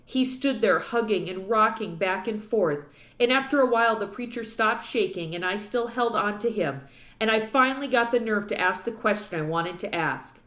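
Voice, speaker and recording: A woman's voice: mid-range voice, normal speed, fairly bright tone. A woman narrating a children's book aloud expressively, recorded with roomy echo.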